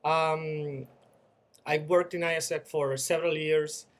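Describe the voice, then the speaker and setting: Venezuelan man, 30s, fairly high voice; reflective video message, earnest, restarts often. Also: fairly even intonation, slow. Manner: earnest, reflective, with frequent repeated words and restarts. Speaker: former student-organization leader sharing experience.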